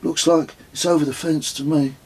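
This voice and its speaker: Audiobook role: man playing a deadpan nine-year-old boy, thoughtful, raised pitch, slightly husky. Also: normal speed. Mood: matter-of-fact. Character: nine-year-old boy, calm, matter-of-fact son. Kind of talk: audiobook character dialogue.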